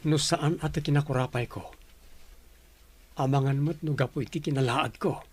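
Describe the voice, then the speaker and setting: A man's voice: fairly high pitch, normal speed, breathy edge. A man playing a humble, self-doubting young boyfriend in a radio drama, polite and earnest. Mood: humble, downcast. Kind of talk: Ilocano radio drama acting.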